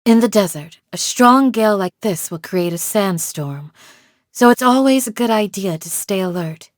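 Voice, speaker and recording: A woman playing a calm, devoted village guardian in a video game, speaking in a measured, formal, gently solemn way. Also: medium pitch, no room sound, studio quality, lightly acted. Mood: earnest. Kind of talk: scripted game character voice lines.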